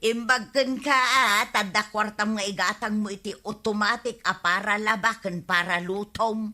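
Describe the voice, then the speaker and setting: A woman's voice: mid-range voice, fairly even intonation, moderate pace, bright, forceful tone, natural voice. A woman with an Ilocano accent playing a complaining, scheming housewife in a radio comedy drama, pleading and emphatic. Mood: chatty, weary. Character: complaining, scheming housewife who hand-washes laundry, cajoling husband.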